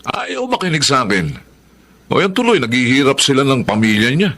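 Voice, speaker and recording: An actor playing a smug, disapproving husband and shady hustler in a Filipino radio drama. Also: male voice, medium pitch, big pitch swings, a little fast, a bit bright.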